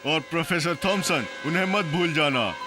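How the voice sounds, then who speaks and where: An actor voicing an excitable, suspicious, grumbling male cartoon character. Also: on the high side, normal speed, lightly acted. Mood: uneasy. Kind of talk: Hindi cartoon dub acting. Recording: clear music playing behind.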